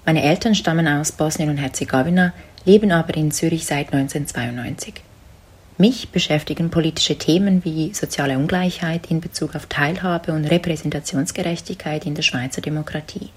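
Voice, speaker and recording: Low, husky-voiced woman from Zurich. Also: brisk. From Zurich, Switzerland. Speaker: community member. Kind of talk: prepared personal statement.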